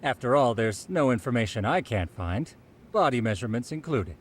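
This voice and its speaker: A man playing a polite, meticulous, quietly smug tech investigator in a video game dub, with formal, precise, wordy speech. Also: mid-range voice, animated, moderate pace, slight background noise, natural voice. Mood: courteous, pleased.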